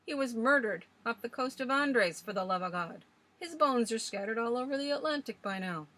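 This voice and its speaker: A woman reading aloud voices a cantankerous old rustic man who speaks loudly with scornful bluster and broken country grammar. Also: fairly high pitch, animated, moderate pace, pitched above the performer's own voice, rough recording. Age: older.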